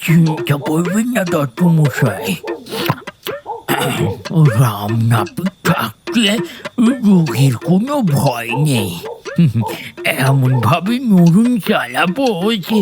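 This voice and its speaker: A man's voice: fairly high pitch, very animated, slow pace, a crowd in the background. A man playing a boastful village barber eager to play surgeon in a comedy audio drama, humming and drawing out his brags.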